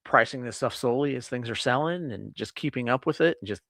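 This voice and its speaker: A man's voice: mid-range voice, brisk, fairly bright tone, no echo, studio quality. A man co-hosting a podcast, chatting casually from his home office in a rambling, laid-back way with casual swearing. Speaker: podcast co-host. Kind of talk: casual chat.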